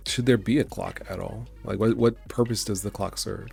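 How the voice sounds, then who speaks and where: A young man, raspy from just getting over being sick, chats casually and thinks aloud while working on his game on a live stream. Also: lowish voice, fairly even intonation, moderate pace, darkish tone. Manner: laid-back, rambling, thinking aloud. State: raspy voice, just recovering from illness. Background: faint background music and keyboard typing.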